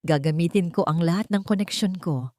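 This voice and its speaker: A woman as grim wealthy male boss, audio drama, cold, menacing, determined, low pitch, dry sound. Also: fairly quick, a little mellow, no echo, lightly acted. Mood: grim resolve. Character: angry, determined adult son, wealthy powerful CEO.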